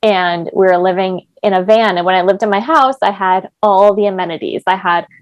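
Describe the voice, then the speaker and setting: A woman's voice: mid-range voice, brisk, dry recording, muffled recording. A young woman hosting a podcast, chatting casually and filling her talk with "like". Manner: chatty, lots of "like" fillers. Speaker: podcast host. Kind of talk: casual chat.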